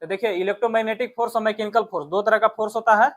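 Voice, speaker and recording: A man's voice: sits high, steady tone, rapid-fire, a bit bright. A man teaching an exam-prep lesson, explaining instructively and repeating key points. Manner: instructive, repeats points and reads questions aloud in Hindi and English.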